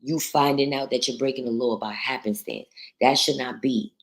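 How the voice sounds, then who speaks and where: A woman from New York with a deep voice, hosting a casual livestream, reading viewer comments and talking bluntly with plenty of profanity. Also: steady tone, moderate pace. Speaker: livestream host.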